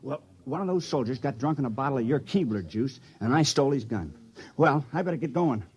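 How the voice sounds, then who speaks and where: An actor playing a reluctant, good-natured village man made town criminal, speaking in a folksy, hesitant, husky way in old-time radio style. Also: mid-range voice, expressive, brisk, a little mellow. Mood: eager.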